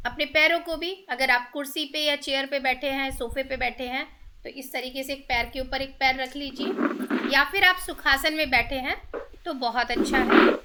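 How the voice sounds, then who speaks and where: A woman with an Indian accent hosting a guided meditation, giving gentle, soothing instructions in drawn-out phrases. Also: sits high, a little hiss or hum. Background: meditation music with singing bowl tones.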